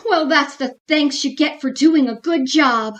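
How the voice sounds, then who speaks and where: A woman acting as an excitable sitcom housewife from classic radio comedy. Her voice is very high and she takes her time, with a theatrical, naive delivery that tips easily into melodrama. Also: measured pace. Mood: indignant, alarmed. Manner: theatrical, wailing when upset.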